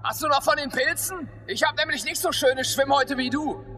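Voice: high voice, fairly quick, sharp tone. An actor in a radio drama playing an eager, naive childlike adventurer in a game world. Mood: eager, playful. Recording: long hall reverb, faint music.

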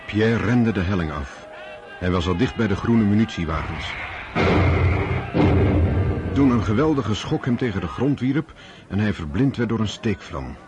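An actor playing the sober narrator of a war epic, reading scripted radio drama narration. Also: male voice, on the low side, animated, normal speed, pauses often, loud music behind the voice, lightly acted.

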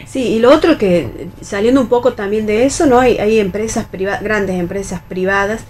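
An Argentine woman chatting on a radio panel in a halting way, often checking that the others agree. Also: medium pitch, darkish tone, a little hiss or hum. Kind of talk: casual round-table discussion.

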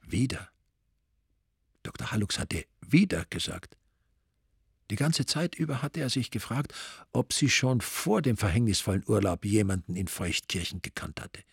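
A man narrating a crime story in a dry, wry, deadpan way. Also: lowish voice, normal speed, creaky, breathy edge, no echo.

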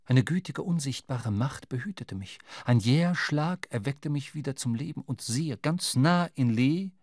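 A male actor playing a reflective sailor who narrates a whaling adventure in measured literary style for a radio drama. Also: medium pitch, animated, a little mellow, no echo, clean studio sound. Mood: reflective, wondering.

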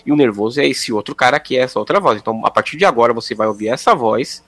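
A man's voice: mid-range voice, rapid-fire, fairly bright tone. A man in a casual podcast conversation, explaining his point in an earnest way.

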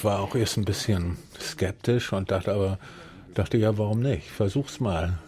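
An actor playing a hesitant, good-natured older man from Hamburg on a date, halting and self-deprecating, in a naturalistic radio drama. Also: on the low side, darkish tone, close to an everyday voice. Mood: hesitant, easygoing. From Hamburg, Germany.

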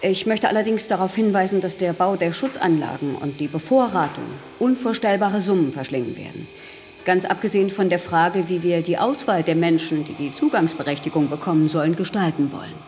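A cautious, businesslike official played by an actress reports formally in a radio drama. Her lowish voice carries a long hall reverb, with faint noise behind it. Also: fairly quick, darkish tone, lightly acted. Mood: businesslike, concerned.

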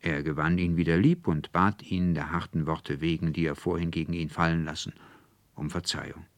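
A man narrating a literary fairy tale in a measured, expressive storytelling manner. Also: deep voice, dry recording. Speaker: audiobook narrator.

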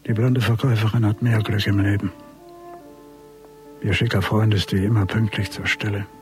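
A man's voice: on the low side, little pitch movement, normal speed, natural voice. Man acting bitter middle-aged dad in grief, muttering to himself in a radio play, music under him. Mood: watchful, brooding. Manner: brooding, terse, talks to himself. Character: bereaved, embittered father obsessively watching his son's killer. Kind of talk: radio drama inner monologue.

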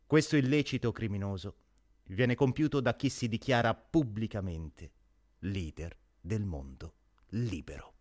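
An actor delivering a solemn radio theatre monologue as a grave, indignant moral orator. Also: male voice, lowish voice, a little slow, darkish tone.

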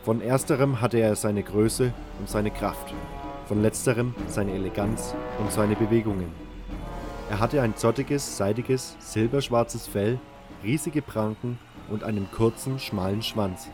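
A man's voice: fairly low pitch, unhurried. A man reading scripted first-person fantasy narration as the narrator of an audio drama. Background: music.

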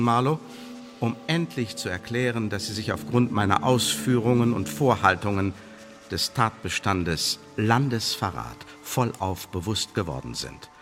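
A male actor playing an imperious secret service interrogator in a German radio play, pompous and sardonic, with a husky voice. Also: lowish voice, a bit bright. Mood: stern, commanding. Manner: pompous officialese mixed with sardonic colloquial jabs. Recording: reverberant hall-like radio drama room.

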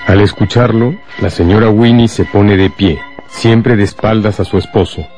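Deep-voiced man narrating a radio drama. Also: steady tone, a little mellow, soft background music. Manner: measured, dramatic storytelling.

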